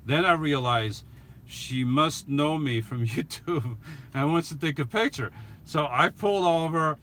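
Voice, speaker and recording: A man's voice: a little high, a little slow, a bit bright, a little hiss or hum. A middle-aged man with a foreign accent, chatting casually with his live-stream viewers and often restarting his sentences with small laughs. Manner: rambling, chuckling, many restarts. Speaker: YouTube channel host answering live chat.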